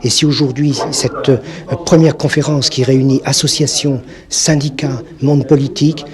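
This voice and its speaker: A man's voice: medium pitch, flat delivery, moderate pace. A male trade unionist giving a press conference speech, hesitant and full of fillers. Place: press conference in a crowded room. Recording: crowd noise behind.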